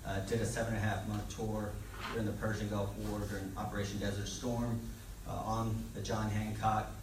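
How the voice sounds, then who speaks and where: A middle-aged man introduces himself as a candidate at a public forum, plainly and informally, pausing with filler words. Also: on the low side, little pitch movement. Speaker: political candidate. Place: public meeting hall, indoors. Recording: echoey room, distant, noisy.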